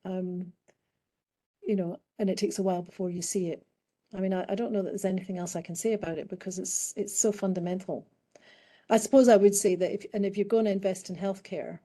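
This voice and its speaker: A middle-aged woman with a British accent, speaking as a guest expert in a panel discussion, answering in a thoughtful, halting way with frequent restarts. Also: medium pitch, dark tone. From United Kingdom. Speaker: guest expert in public health.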